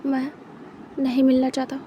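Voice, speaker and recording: A woman voicing a hurt young man who answers quietly, curt and cold. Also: high voice, monotone, normal speed, lo-fi sound, slight background noise, close to an everyday voice.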